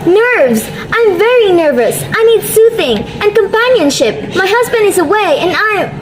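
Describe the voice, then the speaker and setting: Actress as panicked invalid wife, shrill high register, demanding, melodramatic radio play, background noise. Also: female voice, very animated, a little slow, full character voice. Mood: impatient, demanding. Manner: imperious, pleading, melodramatic.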